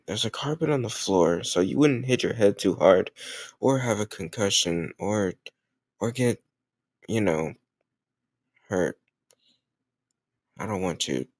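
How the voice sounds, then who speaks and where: A man's voice: on the low side, darkish tone, dry recording, studio quality, exaggerated voice. A man playing a cocky, popular teenage football captain bully with a secret tender side, teasing and hesitant in an intimate audio roleplay spoken to the listener. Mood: grudgingly protective. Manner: teasing, smug, hesitant murmured asides.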